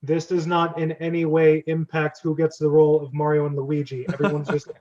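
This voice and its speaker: Chatty joking guy hosting a script club, voice a bit high, slightly muffled sound. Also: completely flat delivery, brisk, darkish tone. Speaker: host of a script reading club. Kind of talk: casual hosting chat.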